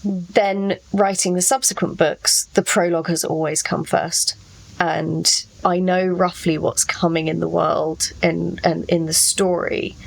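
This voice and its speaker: A woman with a fairly low voice and noticeable creak talks as an author in a relaxed podcast discussion. She is reflective and explains her points from personal experience. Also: a little slow, low-quality recording. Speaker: fiction author, podcast guest.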